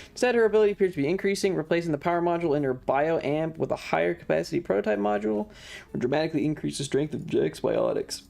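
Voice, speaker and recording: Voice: mid-range voice, vocal fry, a little mellow. A streamer giving casual live commentary on a video game in an irreverent, sweary, rambling way.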